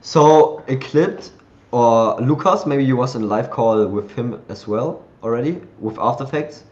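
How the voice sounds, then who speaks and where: A man hosting a live community call, chatting loosely and thinking aloud as he shows software on his screen. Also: medium pitch, measured pace, highs cut off. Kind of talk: casual screen-share tutorial.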